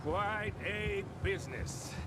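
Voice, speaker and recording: A man's voice: sits high, slow pace, very noisy. An actor playing a sly, insolent American outlaw gunman in a western video game, drawling in a sardonic, mocking manner. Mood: wry. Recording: loud music under the dialogue.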